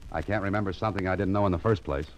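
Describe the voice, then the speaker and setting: An actor playing a wry, cool-headed private investigator in 1940s radio style, with a sardonic way of talking. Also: male voice, sits low, steady tone, racing, lightly acted. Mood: wry, puzzled. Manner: sardonic, talks to himself in narrated asides. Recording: dull vintage radio recording, music behind.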